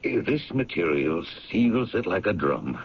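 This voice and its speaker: A man's voice: deep voice, very animated, unhurried, breathy edge, exaggerated voice. An actor playing a proud paint company owner in a 1970s radio drama, talking with a boastful salesman's patter. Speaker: factory owner. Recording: dull lo-fi 1970s radio recording.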